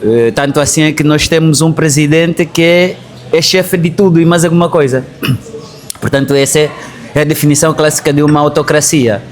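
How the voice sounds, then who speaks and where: A man's voice: fairly high pitch, fairly even intonation, normal speed. A man from Angola with an Angolan accent, speaking as an interview guest in an explanatory way, often rephrasing himself. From Angola, Bié. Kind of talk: conversational political interview.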